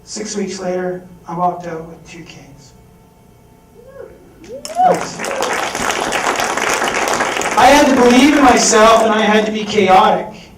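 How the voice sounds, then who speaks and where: A young man with a fairly high voice tells his personal story on stage at a fundraiser. His delivery is casual and lively, full of restarts, and he acts out the people he quotes. The sound is roomy and distant, with a faint rumble underneath. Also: slow pace, pauses often, crowd noise. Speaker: speaker at a fundraising event. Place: event hall with audience. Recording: echoey hall recorded from far off.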